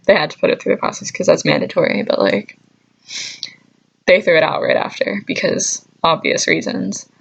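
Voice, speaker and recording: A woman's voice: on the low side, sing-song, moderate pace, vocal fry, slightly rough, lo-fi sound. A woman vlogger telling stories casually, with a joking, mock-scolding manner and dry asides. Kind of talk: casual comedic storytelling to camera.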